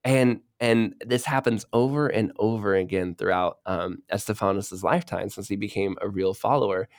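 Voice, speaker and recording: A man co-hosting a podcast, telling a history story in a casual, lively way and voicing the people in it. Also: lowish voice, normal speed, no room sound, clean studio sound. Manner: animated retelling with voiced quotes and fillers.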